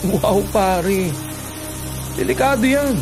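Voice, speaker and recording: High-pitched Filipino buddy, inquisitive. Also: male voice, expressive, slow pace, over music, low-quality recording. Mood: alarmed. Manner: questioning, exclamatory. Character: alarmed, inquisitive male market co-worker and buddy. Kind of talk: Filipino radio drama acting.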